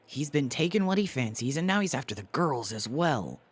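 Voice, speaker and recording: A grumbling, gossipy commoner played by a man in a fantasy manga dub. His voice is raspy, mid-pitched and very animated. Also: moderate pace. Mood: bitter, gossipy. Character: disgruntled gossiping male townsman.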